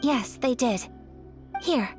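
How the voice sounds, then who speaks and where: A woman playing a polite, principled young noblewoman in a video game, speaking formally and with measured courtesy. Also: a little high, over music. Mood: courteous.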